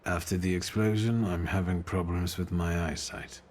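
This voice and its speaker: An actor playing a brooding, reserved thaumaturge in a video game, speaking in a terse, measured way. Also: male voice, sits low, fairly even intonation, slight background noise, lightly acted. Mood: somber, guarded. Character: brooding, reserved male thaumaturge in early 1900s Warsaw. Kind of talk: video game cutscene acting.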